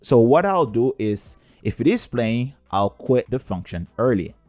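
A man's voice: mid-range voice, big pitch swings, measured pace, a little mellow, dry recording, faint music behind. A man narrating a scripted step-by-step coding tutorial in a methodical, explanatory way. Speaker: tutorial presenter.

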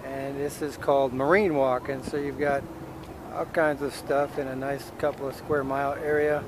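A man's voice: mid-range voice, normal speed. A man casually narrating a walking tour of an outdoor waterfront plaza, filming on a handheld camera. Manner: relaxed, offhand commentary while walking. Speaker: travel vlogger. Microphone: handheld camera mic. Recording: noisy outdoor camera audio.

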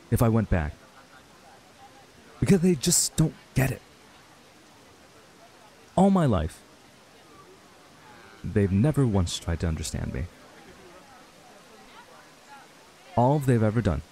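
A man acting a stressed, earnest young runaway in an intimate audio roleplay, speaking haltingly with sighs. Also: lowish voice, warm tone. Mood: frustrated, venting. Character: stressed young man, frustrated runaway reuniting with childhood friend.

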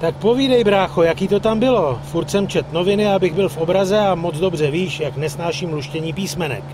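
A breezy wiseguy gangster played by a male actor with a fairly high voice, full of slang and jokes. It is video game dialogue over clear engine noise. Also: close to an everyday voice. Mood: breezy, curious. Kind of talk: video game cutscene acting.

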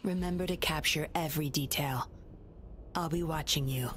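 A woman's voice: low-pitched, moderate pace, a bit bright. A woman playing a cool, confident female agent in a video game, composed and authoritative, with a sly edge. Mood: cool, commanding. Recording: game audio with music behind.

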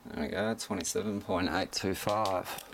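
Male hobbyist presenter, very low pitch, informal demo delivery, camera mic with clear background noise. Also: fairly even intonation, normal speed. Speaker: radio hobbyist presenting his equipment. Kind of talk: casual unscripted product demonstration. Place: indoors at a desk, behind the camera.

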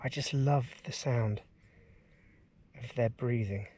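A man's voice: mid-range voice, takes it slowly, husky. A man in his forties on a river in a kayak, talking casually and with enthusiasm to a camera mounted on the boat, with plenty of ums and uhs. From England. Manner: enthusiastic, relaxed, with many fillers. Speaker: outdoors vlogger. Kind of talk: casual vlog narration. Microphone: action camera mounted on the kayak.

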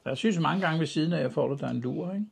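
A man's voice: fairly high pitch, gravelly, husky. A man, chatting casually as he looks back over pictures. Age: 60s. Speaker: video podcast host and camping journalist. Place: small home office with bookshelves, indoors. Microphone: clip-on lavalier.

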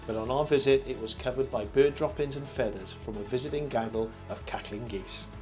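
An older man reading a scripted review voice-over as host, in a measured, formal presenter manner. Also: medium pitch. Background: background music.

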